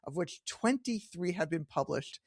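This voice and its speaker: A man's voice: high voice, animated, normal speed, slightly rough, no room sound, clean studio sound. A man hosting a chatty interview podcast, joking and self-deprecating as he trips over his words and corrects himself. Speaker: podcast host.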